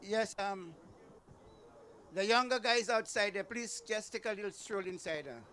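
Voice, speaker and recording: High bright man urging a crowd. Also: measured pace. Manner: urging, coaxing, informal. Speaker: event speaker calling the crowd inside.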